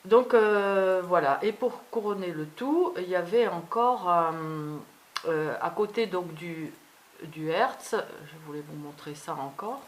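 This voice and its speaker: A woman whose native language is not French records an unscripted personal vlog, speaking in halting fragments that often trail off. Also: lowish voice. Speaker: video blogger.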